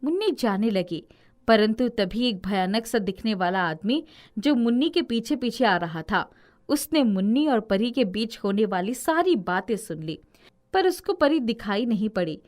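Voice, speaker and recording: Woman, storyteller, scripted children's narration, high pitch, animated melody. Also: unhurried.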